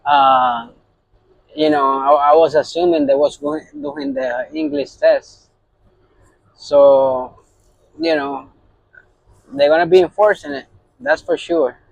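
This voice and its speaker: A man's voice: sits high, sing-song, slow, creaky, long pauses, no room sound, muffled recording. A man from Puerto Rico, a truck driver, talking casually to his phone in a rambling way full of fillers and restarts. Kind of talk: casual vlog talk to camera.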